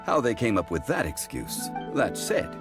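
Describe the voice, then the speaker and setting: A man playing a calm, ancient god living as a gentleman in a video game, speaking in a formal, measured way. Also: mid-range voice. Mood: composed. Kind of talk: scripted video game cutscene acting. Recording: music behind the voice.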